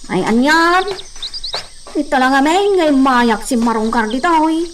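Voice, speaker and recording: A woman plays a nosy, talkative village woman with an Ilocano accent. The radio drama voice is pushed high and singsong, full of exclamations. It is recorded at some distance with noticeable noise. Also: expressive, unhurried. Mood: puzzled, chatty. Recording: distant mic, clearly noisy background.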